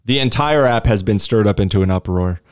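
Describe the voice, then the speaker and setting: A man's voice: on the low side, fairly quick, clean tone. A man co-hosting a casual podcast, talking emphatically and defiantly, rambling with frequent restarts. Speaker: podcast co-host.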